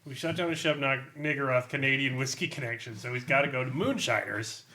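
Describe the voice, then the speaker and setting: A man's voice: medium pitch, fairly quick, pushed and bright. A man on a podcast panel, chatting and joking casually with the group. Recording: group voices in the background.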